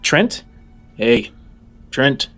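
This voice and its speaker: A man voicing a brisk, officious orphanage caretaker who hurries children along in a tabletop game. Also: mid-range voice, big pitch swings, a bit bright, faint music behind, heavily acted.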